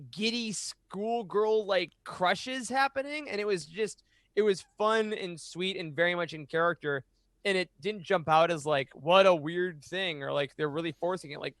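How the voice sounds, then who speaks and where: A man in his thirties with an American accent and a high-pitched voice. He gushes in casual, enthusiastic chat, with a dry, close podcast sound. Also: a bit bright, no room sound. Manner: enthusiastic, gushing. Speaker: podcast panelist. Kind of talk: casual roundtable discussion. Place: home room. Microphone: close boom-arm podcast mic.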